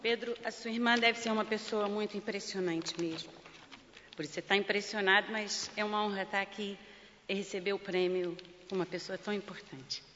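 Brazilian woman giving a thank-you speech, bright voice, jokey and teary. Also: mid-range voice, fairly even intonation, fairly quick, slight background noise. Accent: Brazilian Portuguese. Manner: emotional, joking, fighting back tears. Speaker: charity president and clown. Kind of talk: award acceptance speech. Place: large parliament hall. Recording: lo-fi with long hall reverb.

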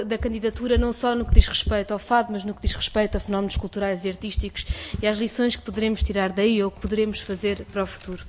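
Portuguese woman in parliament, measured formal talk, bit of faint noise behind. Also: medium pitch, a little mellow. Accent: European Portuguese. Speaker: member of parliament. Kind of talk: formal committee speech.

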